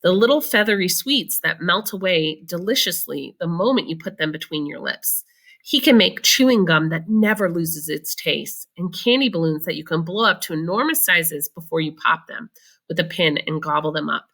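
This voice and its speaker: A woman voicing a very old, excited grandfather who marvels eagerly in a children's storybook. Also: fairly low pitch, moderate pace. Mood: marveling. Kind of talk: children's storybook read-aloud.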